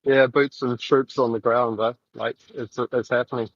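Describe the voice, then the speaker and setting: A man's voice: medium pitch, fairly even intonation, gravelly, no echo. New Zealand man rambling on a muffled call. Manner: rambling, halting, many fillers. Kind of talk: casual voice chat while walking. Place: street outdoors, walking.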